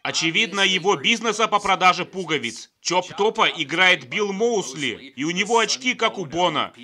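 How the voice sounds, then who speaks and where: A man's voice: sits high, lively intonation, normal speed, bright, forceful tone. A man narrating scripted comedic film commentary in a sardonic, joking manner.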